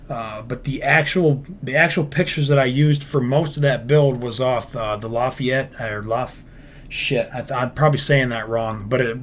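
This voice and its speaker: A man hosting a casual livestream, reading viewer comments and answering them bluntly, swearing freely and rambling through lots of fillers and restarts. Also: medium pitch. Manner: profane, blunt. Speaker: livestream host, game builder.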